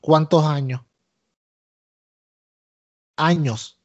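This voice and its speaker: A Puerto Rican man with a fairly high voice, recorded in dull lo-fi audio. He co-hosts a podcast with emphatic, opinionated commentary, repeating himself for stress and slipping English phrases into casual Spanish. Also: steady tone, extremely slow, darkish tone. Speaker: wrestling podcast co-host.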